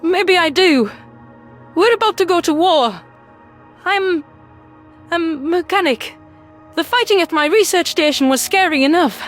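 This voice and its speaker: A tiny female rodent alien from a sci-fi audio story acted out by a man, pitched extremely high. She is small, timid and sweetly needy, with music underneath. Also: animated, unhurried, long pauses, a bit bright, heavily acted. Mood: nervous.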